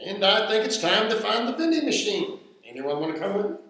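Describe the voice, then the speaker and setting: A man's voice: high-pitched, big pitch swings, brisk, fairly bright tone, heavily acted. A man playing a wry, put-upon father in a radio drama. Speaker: actor in an amateur radio play. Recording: very echoey room.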